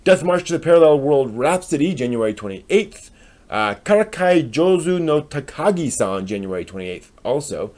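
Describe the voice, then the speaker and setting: A man with an American accent hosting a live stream, giving a casual news rundown in a hesitant, halting way as he thinks aloud. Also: fairly high pitch, very animated, darkish tone. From United States.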